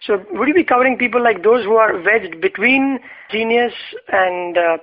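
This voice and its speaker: Male interviewer, high voice, hesitant questioner, muffled radio sound. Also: moderate pace. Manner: hesitant, many "uh" fillers while asking. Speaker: interviewer, host.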